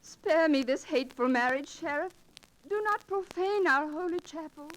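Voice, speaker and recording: High-voiced earnest young noblewoman. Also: lively intonation, normal speed, faint music behind. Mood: pleading. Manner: earnest, melodramatic. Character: pleading young noblewoman, devoted and proud damsel. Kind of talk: 1940s radio drama acting. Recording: noisy old radio recording with clicks.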